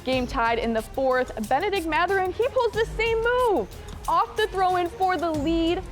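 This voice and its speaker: A young woman anchoring a local TV sportscast, reading highlights with punchy, excited calls on big plays and wry asides. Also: moderate pace, faint music behind. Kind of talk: scripted sportscast narration over highlights.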